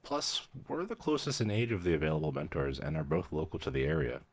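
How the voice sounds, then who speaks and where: A man's voice: very deep voice, very animated, fast, breathy edge, full character voice. A man playing a weary, friendly young vampire mentor in a roleplay audio, dryly professional yet casual and a little hesitant. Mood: friendly, informative. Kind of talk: roleplay audio, conversational acting.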